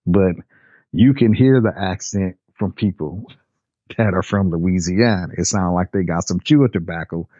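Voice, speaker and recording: An older man from Nebraska telling a personal story in a relaxed, rambling way with casual slang. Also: low voice, unhurried, no echo, lo-fi sound. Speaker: storyteller guest. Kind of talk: personal storytelling, recounting a memory.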